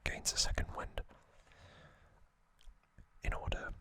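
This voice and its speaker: A man hosting ASMR in a breathy whisper, gently recapping trivia in short, halting phrases. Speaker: ASMR host.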